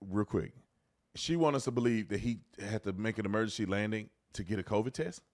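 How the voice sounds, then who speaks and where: A man's voice: on the low side, brisk, heavy background noise. An American man hosting an informal, emphatic commentary, repeating phrases to drive his points home. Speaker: host commentator, former police officer.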